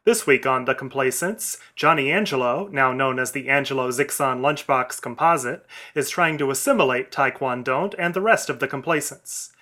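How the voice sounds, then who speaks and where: Bright formal male podcast announcer. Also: mid-range voice, moderate pace, few pauses. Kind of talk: scripted announcer intro.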